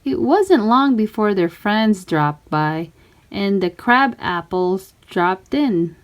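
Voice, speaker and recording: A woman's voice: mid-range voice, sing-song, slow pace. A woman reading a children's story aloud, expressively acting out characters and sound words. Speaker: storybook reader.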